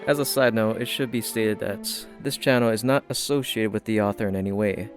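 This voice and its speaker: A man delivering scripted narration in a measured, theatrical storyteller manner, as the narrator of an audio story. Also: lowish voice. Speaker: audiobook narrator and channel host. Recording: music behind the voice. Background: instrumental music.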